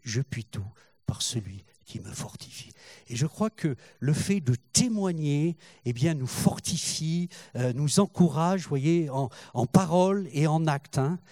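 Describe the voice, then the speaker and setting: An older man preaching a sermon in French, halting and repeating words, rising in fervor as he goes. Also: fairly high pitch, lively intonation, warm tone, dry recording. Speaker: pastor.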